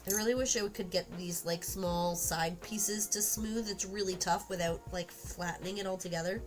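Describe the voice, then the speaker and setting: A woman talks casually to her stream viewers while she works on a sculpture, her voice stuffy and congested from a cold. She muses aloud and lets sentences trail off with fillers. Faint music plays quietly behind her. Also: mid-range voice, normal speed. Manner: chatty and musing, trailing off with fillers. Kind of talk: casual live-stream chat while working.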